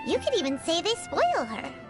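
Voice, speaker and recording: Squeaky, very high-pitched little fairy companion. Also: female voice, moderate pace, loud music behind the voice, heavily acted. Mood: chatty, eager. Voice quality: squeaky, childlike. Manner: speaks of self in third person, animated. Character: tiny floating childlike fairy companion, chirpy, cheeky, easily indignant. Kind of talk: animated video game voice acting.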